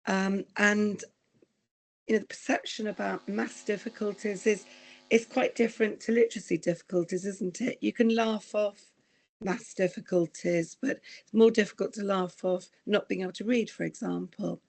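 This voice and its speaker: A woman with a croaky voice from recent illness, giving an earnest, explanatory online presentation. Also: medium pitch, steady tone, moderate pace, darkish tone, poor audio. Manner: earnest, explanatory, asks for slide changes. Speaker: guest presenter.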